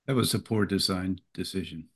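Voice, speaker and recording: Low-pitched male panelist with dry, wry one-liners. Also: normal speed. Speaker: podcast panelist. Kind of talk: casual panel chat.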